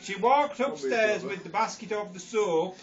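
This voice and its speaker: A giggly man rambles through a silly bedtime story in a high, lively voice, taking his time. He sounds far from the mic on dull audio with a faint hiss behind. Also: measured pace, crowd noise. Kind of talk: improvised comic storytelling. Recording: distant lo-fi home recording with hiss.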